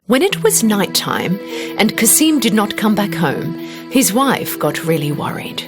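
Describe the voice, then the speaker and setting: A woman reading a scripted children's fairy tale as an expressive storybook narrator. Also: mid-range voice, a little slow, music in the background.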